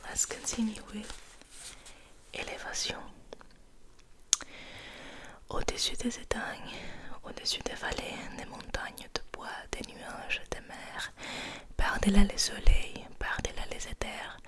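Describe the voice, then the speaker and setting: A woman whispering softly in an ASMR style, reading and rambling in a hushed, unhurried, gentle way. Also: medium pitch, little pitch movement. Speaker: ASMR host.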